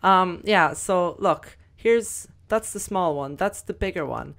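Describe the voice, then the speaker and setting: A young woman with a slightly croaky voice, chatting casually through gameplay commentary with lots of fillers. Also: on the low side, takes it slowly, fairly bright tone. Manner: chatty, rambling, many fillers. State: croaky, voice recovering. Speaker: gaming video host.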